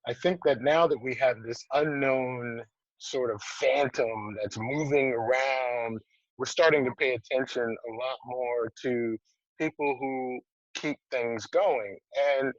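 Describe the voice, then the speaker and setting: A man hosting a casual interview over a video call, relaxed and hesitant, with many pauses and restarts. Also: medium pitch, dry recording. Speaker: interview host, film festival producer. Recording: dull, dry video-call audio.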